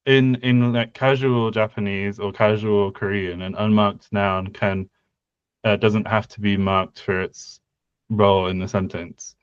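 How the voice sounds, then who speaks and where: Slow, hesitant man with a smooth voice. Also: on the low side, little pitch movement, no echo, highs cut off. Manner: hesitant, lots of fillers and restarts. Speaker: podcast co-host. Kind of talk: casual conlang design discussion.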